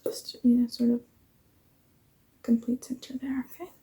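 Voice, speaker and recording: A tired woman whispering softly through an ASMR face-measuring roleplay, yawning now and then and apologizing often. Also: a little high, flat delivery, moderate pace. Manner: soft whispering, apologetic asides.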